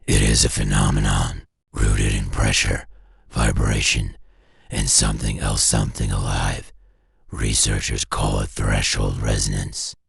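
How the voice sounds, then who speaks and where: A man narrating a scripted horror story in an ominous, deliberate way, with a gravelly, husky voice and short clipped phrases. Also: extremely low pitch, level tone, dry recording, studio quality. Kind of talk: scripted analog horror narration, second-person.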